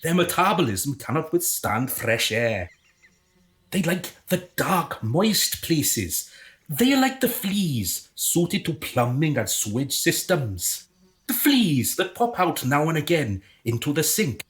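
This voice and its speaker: A haughty alien passing as human, played by an actor, in a comic radio drama. The delivery is pompous and condescending, swinging widely in pitch through a middling register, and quick to exasperation. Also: big pitch swings. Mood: smug.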